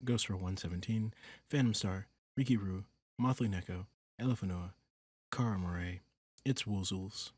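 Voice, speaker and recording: A man's voice: low voice, normal speed, a little mellow, dry recording, studio quality. A man, the creator of audio roleplays, reading out a scripted outro of thanks and names.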